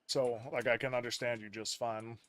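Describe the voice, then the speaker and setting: A man's voice: mid-range voice, level tone, moderate pace, fairly bright tone, slight background noise. A man streaming a game, chatting casually and explaining at length with many restarts, on a headset mic. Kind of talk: casual gaming chat.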